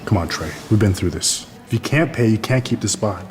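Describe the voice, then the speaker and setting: A man's voice: fairly low pitch, animated, a little fast, a little mellow, lightly acted. A man acting a friendly, reasonable landlord in a scripted online morality drama. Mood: firm.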